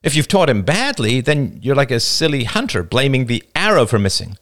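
Guy reading as a preachy condescending man in an audiobook, emphatic, really lively pitch, lecturing away. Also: brisk. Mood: lecturing, insistent. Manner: didactic, insistent, emphatic. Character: David, calm lecturing man, condescending debater.

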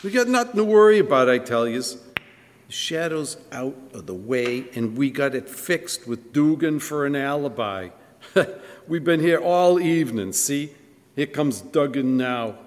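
A man's voice: medium pitch, lively intonation, unhurried, close to an everyday voice. Man playing a bossy gangster tough in echoey hall. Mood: cocky, irritated. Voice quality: slangy tough-guy speech. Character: bossy, swaggering gangster gunman, a street tough. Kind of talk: live old-time radio crime drama acting. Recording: live stage in echoey hall.

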